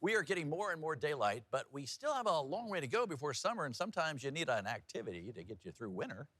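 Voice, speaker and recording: A man's voice: on the high side, sing-song, fast talker, bright, forceful tone, dry recording. A man anchoring TV news, moving between scripted reading and loose, joking banter. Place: TV news studio.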